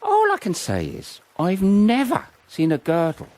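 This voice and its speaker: An actor playing a roguish, lovelorn soldier in a First World War radio drama, with a very animated delivery. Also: male voice, high voice, a little slow, exaggerated voice. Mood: cheeky, amused. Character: roguish, lovelorn Irish soldier in WW1 trenches.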